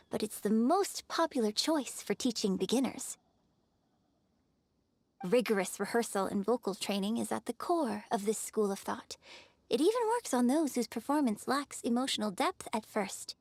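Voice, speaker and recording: An actress playing a gracious opera performer in a video game, coaching patiently with polished, gentle, encouraging delivery. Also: female voice, fairly high pitch, lively intonation, normal speed, no room sound. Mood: poised, encouraging. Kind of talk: scripted video game voice acting.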